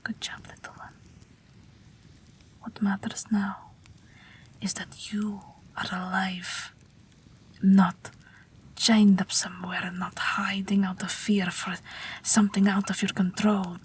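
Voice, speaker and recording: A woman playing a gentle, wry, protective night witch in an intimate audio roleplay, speaking softly and breathily, often near a whisper. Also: medium pitch, a little hiss or hum, lightly acted. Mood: soothing. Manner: slow, soft, tender reassurance.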